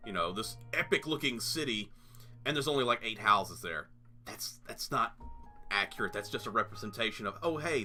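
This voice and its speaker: Male gaming host, rambling let's-play commentary, very bright voice, lively pitch. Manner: rambling, chatty, full of fillers and asides.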